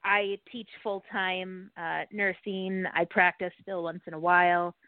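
Lower-voiced woman on a muffled line. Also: flat delivery, a little slow, no room sound. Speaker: podcast co-host and nursing teacher, interview guest. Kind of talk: casual interview chat. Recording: dry, muffled band-limited call audio.

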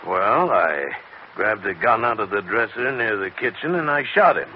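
Man acting anxious suspect, swooping pitch. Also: animated, normal speed, lightly acted. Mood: hesitant. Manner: hesitant, anxious. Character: shaken, pleading male murder suspect. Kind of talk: old radio melodrama acting. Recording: muffled, noisy old radio recording.

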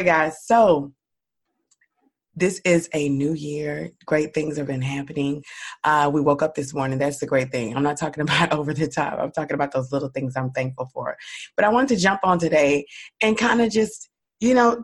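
Hoarse, raspy-voiced woman podcast host. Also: sits low, normal speed. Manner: upbeat, encouraging, talks straight to listeners as "guys". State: hoarse from a cold. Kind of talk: solo podcast monologue.

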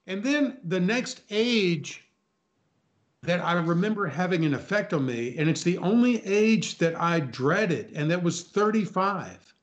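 A man in his sixties with a slightly high voice speaks as a guest in a casual chat. He reminisces conversationally and tends to repeat himself for emphasis. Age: 60s. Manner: chuckles, repeats phrases for emphasis. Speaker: talk show guest.

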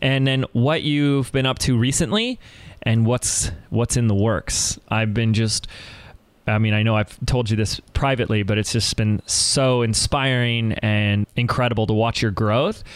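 A male podcast host speaks at a mid-range pitch into a dry, close recording. He talks with eager enthusiasm and heaps praise on his guest. He keeps breaking off and restarting his sentences as he asks his questions. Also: fairly even intonation, a little slow, clean tone, dry recording. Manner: enthusiastic, effusive, with frequent restarts. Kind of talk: conversational podcast interview.

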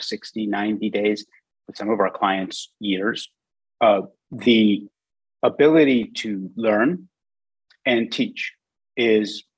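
A man with a fairly low, husky voice talks as a guest on a podcast. He is reflective and deliberate, setting out ideas in short phrases with pauses. The sound is very dry, with no room on it. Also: no echo, poor audio. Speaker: podcast guest, content agency president. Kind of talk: reflective interview talk.

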